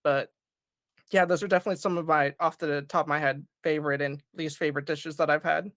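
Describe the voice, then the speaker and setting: A panel guest chatting casually and warmly about home food, with many fillers. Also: mid-range voice, steady tone, fast, no echo, studio quality. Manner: friendly and talkative, many fillers.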